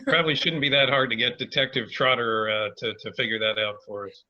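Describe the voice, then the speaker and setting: A man in a casual talkback, speaking haltingly as a playwright with dry humor, on a lo-fi video call. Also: mid-range voice, fairly even intonation, sharp tone. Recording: dull lo-fi video-call audio.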